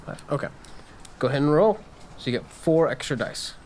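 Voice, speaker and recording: A man's voice: a little high, animated, a little fast, darkish tone, slight background noise. A man acting as game master, casually narrating a tabletop game and explaining rules.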